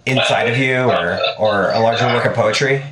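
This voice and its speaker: A man's voice: medium pitch, heavy background noise. Man hosting a podcast, echoey room. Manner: halting questions, many restarts. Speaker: podcast host. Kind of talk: casual interview.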